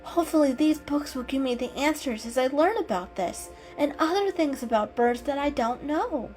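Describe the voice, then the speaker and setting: A curious, bookish girl cat from a storybook, acted out by a woman. She speaks high and lively, eager and warm, with music playing underneath. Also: normal speed. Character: friendly, curious, bookish female house cat Peaches.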